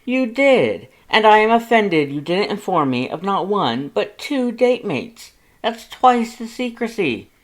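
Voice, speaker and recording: A man's voice: high voice, sing-song, unhurried, hardly any pauses, pitched above the performer's own voice. A man voicing a pompous, dramatic male skeleton with a grandiose, formal, over-enunciated way of talking. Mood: offended, dramatic.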